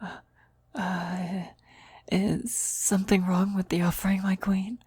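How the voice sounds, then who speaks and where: Woman acting a breathy, whispering devoted cultist. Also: medium pitch, little pitch movement, no echo, studio quality. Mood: nervous, deferential. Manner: reverent, deliberate, hushed. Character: devoted female cultist, eerily reverent servant of demon queen. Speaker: voice actor in an audio roleplay. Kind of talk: whispered ASMR roleplay acting.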